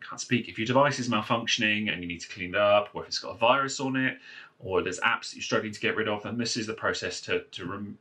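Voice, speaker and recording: A man's voice: lowish voice, bright, forceful tone. A man casually walking viewers through a phone reset step by step, with lots of fillers and restarts, recorded indoors at a desk. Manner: rambling, many fillers and restarts. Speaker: phone repair tutorial presenter. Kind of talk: casual how-to walkthrough. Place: indoors at a wooden desk, filming a phone from above.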